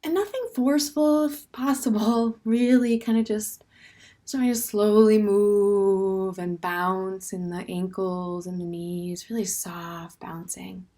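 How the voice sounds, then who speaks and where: A woman with a medium-pitched voice guides a meditation. She speaks gently and without hurry, leaving pauses between short phrases. Her sentences often soften into breath at the end, and her instructions come across as open and permissive. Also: fairly even intonation. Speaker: meditation teacher.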